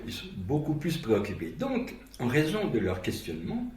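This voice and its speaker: A man's voice: medium pitch, huge pitch swings, moderate pace, a little mellow, slight background noise. A middle-aged man from Haiti, speaking reflectively and hesitantly in a documentary interview. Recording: echoey, distant mic with faint ambience.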